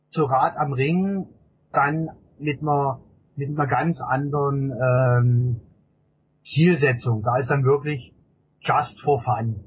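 A middle-aged man with a husky voice answers casually as an interview guest, often repeating words while he searches for phrasing. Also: medium pitch. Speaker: interview guest, amateur endurance cyclist. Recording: dull, lo-fi interview audio.

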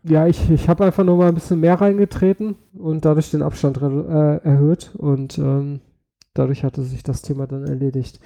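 A man co-hosting a casual podcast, a little hoarse from a slight cold, talking hesitantly with many fillers. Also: a little high, fairly even intonation, moderate pace. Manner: hesitant, lots of fillers, quiet laughs. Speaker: podcast co-host. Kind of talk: casual chat.

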